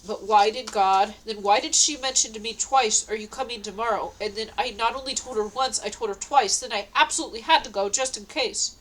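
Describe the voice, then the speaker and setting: A woman's voice: on the high side, brisk, hardly any pauses, fairly bright tone, low-quality recording. A woman with a stuffy nose, talking at home in a rambling, meandering way, full of fillers and restarts. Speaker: vlogger. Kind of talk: rambling personal diary talk. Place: at home indoors.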